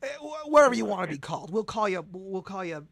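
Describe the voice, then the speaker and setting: A man hosting a comedic radio show, excitable and stammering through restarts, now and then shouting. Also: high-pitched, fast talker, vocal fry.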